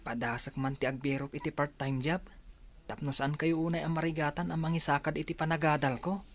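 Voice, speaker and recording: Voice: medium pitch, steady tone, brisk, natural voice. An actor in an Ilocano radio drama playing a dutiful, determined young student who speaks earnestly and reassuringly to a parent.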